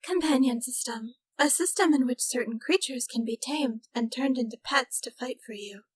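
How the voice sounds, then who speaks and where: Narrator doing a young gamer girl, fantasy audiobook, high voice, bubbly, sweet, dry recording. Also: normal speed, husky, dry recording, poor audio. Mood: informative. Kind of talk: audiobook character acting in a fantasy story.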